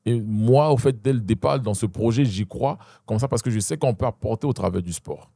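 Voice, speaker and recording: Ivorian guy talking fast, low dark voice, keeps saying tu vois, dry close sound. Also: gravelly, no echo. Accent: Ivorian French. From Ivory Coast. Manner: animated, repeats and restarts, addresses listener with "tu vois". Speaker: guest, Muay Thai champion. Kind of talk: casual interview talk.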